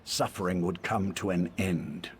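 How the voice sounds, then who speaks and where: A man playing a grieving, bitter elf father and former cultist in a video game, speaking in a measured, earnest way. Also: sits low, steady tone, slight background noise. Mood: solemn conviction.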